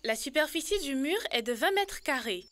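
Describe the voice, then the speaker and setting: A woman's voice: high-pitched, lively intonation, moderate pace, fairly bright tone. A woman voicing an earnest, clever young girl who explains things in a French children's cartoon. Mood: explaining. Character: earnest, clever young schoolgirl taking charge.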